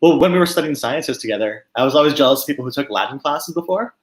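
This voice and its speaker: A man's voice: mid-range voice, lively intonation, fast talker. A young adult man from Quebec chatting casually with a friend, with loose talk and many fillers. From Quebec, Canada. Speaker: co-host friend. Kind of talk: casual chat.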